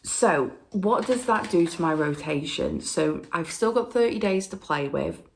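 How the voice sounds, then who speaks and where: A low-pitched woman with a gravelly, hoarse voice from an oncoming cold. She chats casually to camera from home, rambling along with plenty of ums. Her voice sits deep for a woman, with a rough, creaky edge. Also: expressive, unhurried, slight background noise, low-quality recording. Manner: chatty and rambling, lots of ums. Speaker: flosstube host.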